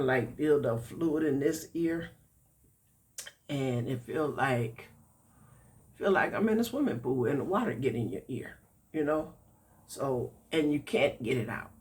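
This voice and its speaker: Older man in his 60s, congested and raspy, slow folksy vlog chat to viewers. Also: medium pitch. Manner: chatty, folksy, addresses viewers directly. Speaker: vlogger reviewing a product. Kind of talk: casual chatty review.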